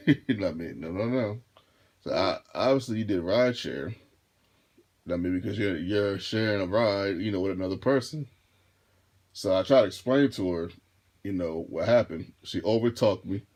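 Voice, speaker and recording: A deep-voiced man in his thirties telling a casual storytime to his viewers, rambling and restarting his phrases as he goes. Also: normal speed, darkish tone. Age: 30s. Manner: laid-back, rambling, repeats and restarts phrases. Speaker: vlogger and rideshare driver.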